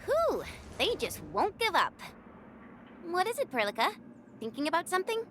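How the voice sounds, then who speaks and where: A woman's voice: extremely high pitch, very animated, moderate pace, background noise. A woman playing a perky, cheeky young fighter in a video game. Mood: cheerful. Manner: cheeky, informal.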